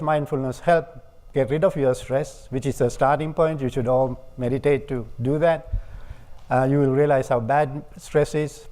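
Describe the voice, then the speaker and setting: A man from Sri Lanka giving a public lecture as a guest speaker, talking in measured, halting phrases. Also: medium pitch, darkish tone.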